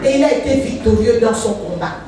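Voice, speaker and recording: A woman's voice: fairly high pitch, animated, fairly quick, background noise. A woman in her fifties, a pastor preaching a fervent sermon with frequent hallelujahs and sing-song rises. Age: 50s. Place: church. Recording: echoey church hall, voice from far off.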